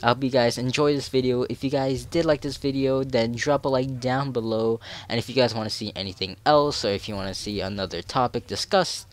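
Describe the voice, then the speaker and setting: A man's voice: mid-range voice, steady tone, brisk, hardly any pauses. A young man with a nasal, stuffy voice from a cold, explaining game mechanics in a tutorial voice-over and rambling in self-deprecating asides. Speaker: game guide creator.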